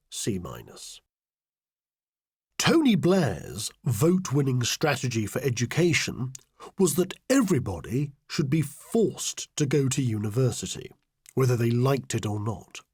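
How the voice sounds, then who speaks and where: A scheming, self-important political adviser in a satirical audiobook diary. The delivery is slow and deliberate, with very animated pitch swings under a deadpan, exasperated sarcasm. The voice is a little husky and closely, drily recorded. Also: male voice, dry recording, clean studio sound, full character voice. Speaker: audiobook reader. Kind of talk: satirical first-person diary audiobook narration.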